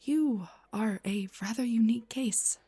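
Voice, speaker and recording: Woman doing a soft whispery vampire doctor for asmr roleplay, caring n polite, very close dry sound. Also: a little high, measured pace, dry recording. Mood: explanatory, gentle. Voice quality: breathy, whispery. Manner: polite, formal, slightly hesitant. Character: gentle, courteous vampire doctor, refined and caring.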